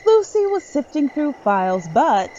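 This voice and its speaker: A woman's voice: high voice, very animated, measured pace, warm tone, dull audio, a little hiss or hum. A woman reading scripted dramatic narration as the narrator of a story.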